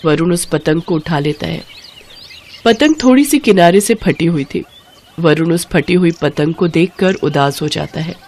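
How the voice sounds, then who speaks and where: A woman's voice: lowish voice, unhurried, darkish tone. A woman reading a scripted moral story for children as the narrator.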